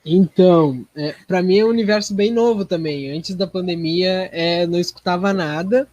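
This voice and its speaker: A man's voice: sits high, moderate pace, a little mellow, no room sound, lo-fi sound. A man answering casually in an interview, thoughtful and a little hesitant. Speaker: podcast guest, theatre performer.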